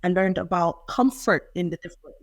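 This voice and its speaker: A woman's voice: fairly low pitch, a little fast, no room sound. A young adult woman, answering interview questions in a reflective, thoughtful way. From Ireland. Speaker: poet and interview guest.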